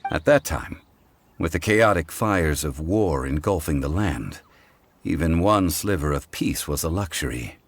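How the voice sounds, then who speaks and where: Very low, unhurried scholar-consultant, game dialogue, lively contour, light score behind. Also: male voice, no room sound, exaggerated voice. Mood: steady, measured. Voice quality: measured, formal and deliberate. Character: calm ancient male consultant, scholarly, composed. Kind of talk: video game voice acting. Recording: game score playing faintly.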